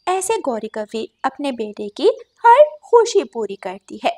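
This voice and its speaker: A woman's voice: high-pitched, sing-song, measured pace, darkish tone. A woman narrating a children's story.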